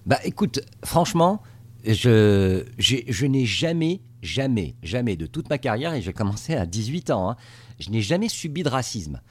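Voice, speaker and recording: A man's voice: medium pitch, big pitch swings, moderate pace, a little mellow, slightly rough, no room sound. A middle-aged man from Tunisia, a TV journalist, answering interview questions in a casual, hesitant way full of fillers and restarts. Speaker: TV journalist based in the US.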